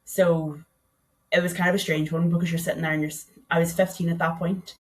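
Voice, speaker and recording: A woman's voice: on the low side, little pitch movement, a little fast. A young woman with a Donegal Irish accent, a podcast guest telling her story in a chatty way, often saying "like". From Ireland. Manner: chatty, peppers speech with "like".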